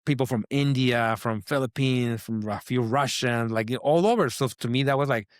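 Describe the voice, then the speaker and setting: A man's voice: medium pitch, normal speed, clear, resonant tone, no room sound, studio quality. A man from Mexico with a heavy Mexican Spanish accent, Spanish his first language, telling a rambling story as a guest in a casual interview, with many fillers and restarts. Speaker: designer guest.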